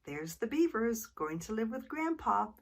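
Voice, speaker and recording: A woman's voice: fairly high pitch, sing-song, unhurried, slight background noise. A woman reading a children's story aloud expressively, as if to young listeners. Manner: asks the young listeners questions. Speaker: storytime reader.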